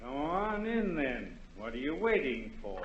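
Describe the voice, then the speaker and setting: A gruff, brusque royal executioner in an old radio play. He drags out his words in exasperation, with a highish voice that leaps around. The sound is dull and roomy. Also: sing-song, measured pace, slight background noise. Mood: grumpy, impatient. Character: gruff, grumbling male royal executioner, bound by rules. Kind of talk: 1940s radio acting.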